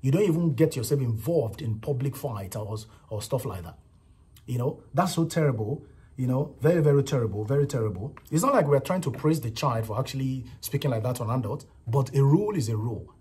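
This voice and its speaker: Nigerian-accented male vlogger, very raspy voice, repetitive emphatic opinion delivery. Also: mid-range voice, lively intonation, fairly quick, darkish tone. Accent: Nigerian English. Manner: repetitive, emphatic, frequent "you know" and "right". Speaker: vlogger commenting on a viral clip. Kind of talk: casual opinion commentary.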